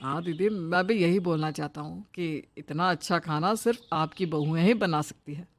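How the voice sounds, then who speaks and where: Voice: medium pitch, normal speed, a little hiss or hum, close to an everyday voice. A performer voicing a cheerful, admiring younger brother in a cartoon moral story, praising in an easygoing way.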